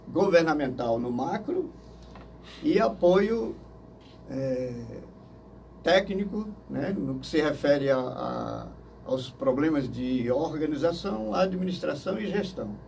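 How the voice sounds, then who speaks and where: An older man from Manaus, answering interview questions slowly and hesitantly, with many fillers and restarts. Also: on the high side, vocal fry, darkish tone. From Manaus, Brazil. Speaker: interview guest. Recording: distant mic with faint noise.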